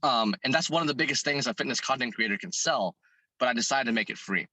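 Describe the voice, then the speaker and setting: Hoarse male interview guest, bright-toned, earnest, thinks out loud. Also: mid-range voice, animated, fast talker, no echo, poor audio. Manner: earnest, thinking aloud with many restarts. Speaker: fitness content creator and business owner. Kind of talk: interview guest answering questions.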